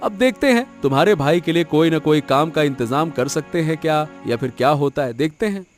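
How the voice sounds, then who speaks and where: Man voicing a high-pitched, good-hearted cartoon farmer husband. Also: a little fast, faint music behind, close to an everyday voice. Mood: easygoing. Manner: expressive, exclaiming. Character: good-hearted, hardworking papaya-farmer husband, easygoing and sentimental. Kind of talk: Hindi cartoon moral story dialogue.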